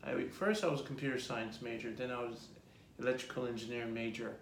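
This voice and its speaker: A man in his forties, an artist telling his life story in a relaxed, rambling way during an interview. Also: medium pitch, fast talker, gravelly, slight background noise. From Jamaica. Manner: rambling lists, relaxed and reflective. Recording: echoey room.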